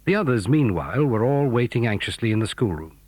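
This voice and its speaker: A man's voice: medium pitch, big pitch swings, brisk, darkish tone, husky, dry recording. A British man narrating a children's adventure drama in a scripted storytelling style.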